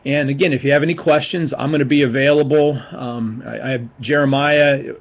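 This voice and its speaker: A man's voice: mid-range voice, fairly even intonation, a little fast, highs cut off. A man in his forties, a seminary professor, giving an informal, encouraging recorded class talk with many fillers and restarts. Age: 40s. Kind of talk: recorded online class lecture.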